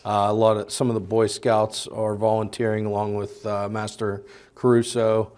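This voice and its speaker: Halting low-voiced council member. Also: male voice, completely flat delivery, a little mellow, smooth. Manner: rambling, halting, many fillers. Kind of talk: meeting report.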